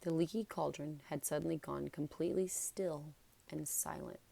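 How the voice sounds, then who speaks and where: A woman's voice: low voice, fairly even intonation, normal speed, creaky, mellow. A woman narrating a children's novel as a read-aloud. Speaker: storybook narrator.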